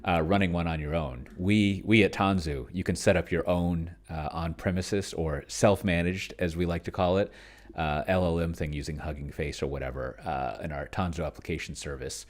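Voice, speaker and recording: A man hosting a solo tabletop roleplaying session, thinking aloud casually in a halting, musing way and often trailing off mid-thought. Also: low voice, steady tone.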